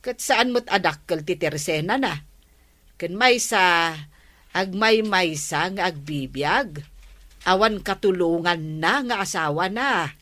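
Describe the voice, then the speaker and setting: A woman's voice: fairly low pitch, animated, slow pace, fairly bright tone, no room sound. A woman playing a patient village captain in a Filipino comedy drama, with a Filipino accent. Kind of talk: Filipino radio-style comedy drama acting.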